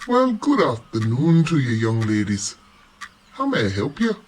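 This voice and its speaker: A man's voice: mid-range voice, huge pitch swings, unhurried. Courteous shopkeeper, acted by a man with swooping intonation. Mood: welcoming. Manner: polite, formal. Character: courteous male shopkeeper, kindly and apologetic. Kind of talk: children's radio play acting. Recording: faintly noisy home video-call recording.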